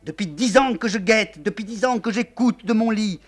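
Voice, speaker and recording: A man's voice: high-pitched, expressive, measured pace, fairly bright tone, voice pushed up, exaggerated voice. A man voicing a hysterical older woman, a general's jealous wife, who shrieks and raves frantically. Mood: raving.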